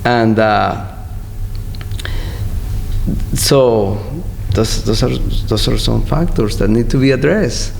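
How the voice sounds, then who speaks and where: Gravelly man in an echoey hall. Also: mid-range voice, darkish tone. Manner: halting, repeats words, searching. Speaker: interviewed guest, poet. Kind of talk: interview talk and poem reading. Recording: echoey hall, faint background noise.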